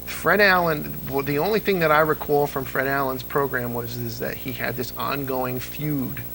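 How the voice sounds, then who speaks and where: Gravelly-voiced man telling old radio stories. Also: mid-range voice, moderate pace, over music, poor audio. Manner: animated storyteller, mimics voices in anecdotes. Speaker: interview guest, old-time radio fan. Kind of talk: casual anecdotal chat.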